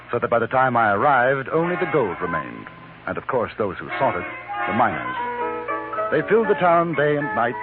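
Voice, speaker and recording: A man's voice: medium pitch, lightly acted. A man playing an articulate, observant English newspaper correspondent, telling his Western tale in a 1950s radio drama. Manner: measured, wry, articulate storytelling. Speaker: narrator and lead character. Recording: narrow vintage radio, loud music behind.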